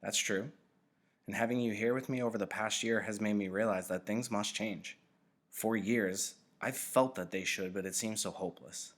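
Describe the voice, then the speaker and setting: A man voicing a weary, gentle old man who keeps memories, speaking calmly and measuredly in a read-aloud of a novel. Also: fairly low pitch, normal speed, close to an everyday voice. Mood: sorrowful.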